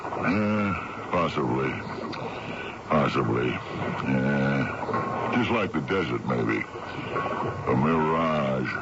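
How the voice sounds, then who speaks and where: An actor playing a steady, practical man in charge of a small sailboat in a radio drama, commanding but reassuring. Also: extremely low pitch, extremely slow, long pauses, full character voice. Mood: cautious. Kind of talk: 1970s radio drama acting. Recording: dull, very noisy old radio broadcast.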